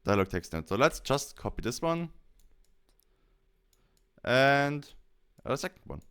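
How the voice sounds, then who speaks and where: Clear-voiced man with lively intonation. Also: medium pitch, unhurried. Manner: explains step by step. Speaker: tutorial presenter. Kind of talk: casual software tutorial narration.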